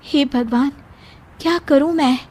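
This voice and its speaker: A woman's voice: high-pitched, a little slow, a little mellow, pitched above the performer's own voice. A woman voicing a resourceful young village daughter-in-law in a cartoon story, earnest and exclamatory. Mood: worried. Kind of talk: cartoon moral-story acting.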